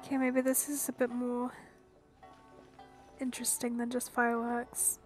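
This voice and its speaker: A woman's voice: fairly high pitch, completely flat delivery, unhurried, faint music behind. A woman giving casual, informal commentary while playing through a video game. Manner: informal, reactive. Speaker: game streamer.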